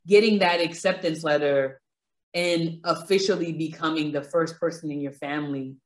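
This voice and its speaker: A woman with a Caribbean accent hosting a podcast from a small room over a video call, talking with many fillers and restarts. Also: low voice. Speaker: podcast host. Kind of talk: podcast introduction and interview. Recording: roomy echo.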